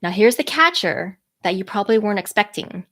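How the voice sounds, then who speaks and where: A woman's voice: medium pitch, lively intonation, a bit bright. A woman in her thirties with a cold, talking nasally as a people skills coach on a podcast live stream, earnest and encouraging. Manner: earnest, encouraging, slowed by a stuffy nose.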